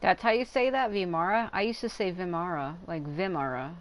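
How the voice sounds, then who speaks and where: A woman's voice: on the low side, fairly quick. A woman livestreaming a video game, rambling and thinking aloud in casual commentary. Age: adult. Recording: game music behind the voice. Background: video game music.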